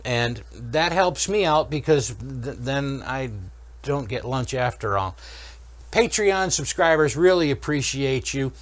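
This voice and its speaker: A man's voice: medium pitch, animated, takes it slowly, no room sound. A man hosting a repair channel, giving an informal technical explanation step by step and often checking in with the viewer. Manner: explains step by step, checking in with okay and all right. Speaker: host of an electronics repair channel.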